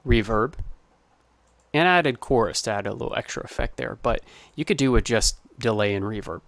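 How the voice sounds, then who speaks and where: A man's voice: medium pitch, brisk, vocal fry, fairly bright tone, no room sound. A man giving an informal tutorial as a sound designer, explaining his process step by step. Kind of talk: informal screen-recorded tutorial narration.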